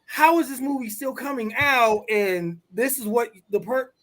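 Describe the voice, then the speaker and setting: A man co-hosting a casual geek talk show, animated as he wraps up and plugs merch. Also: very high-pitched, a little slow. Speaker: co-host. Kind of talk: casual podcast chat.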